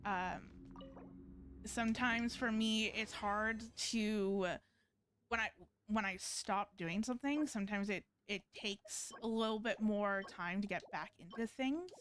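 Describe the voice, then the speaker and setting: A woman chatting casually on a game livestream in a hesitant, halting way that often trails off. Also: medium pitch, fairly bright tone. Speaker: video game streamer.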